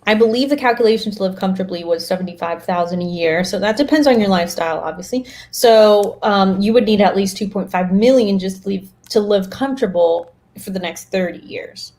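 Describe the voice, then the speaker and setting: A woman's voice: mid-range voice, a little fast, hardly any pauses, a little mellow. A woman hosting a casual podcast, chatty and informal as she steers the conversation. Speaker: podcast host.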